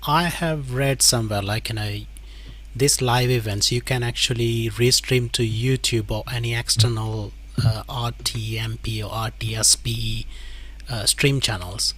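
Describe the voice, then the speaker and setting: A man's voice: mid-range voice, fairly even intonation, measured pace, a bit bright. A man hosting an online tech conference on a video call, chatting casually with frequent "like, you know" fillers. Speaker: event host.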